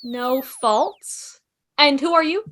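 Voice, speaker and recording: A woman's voice: high-pitched, slow, a bit bright, a little hiss or hum. A woman playing a clever, curious, chatty time traveller in an amateur drama table read, breezy and inquisitive. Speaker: table read performer and narrator. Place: online video call table read. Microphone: video call.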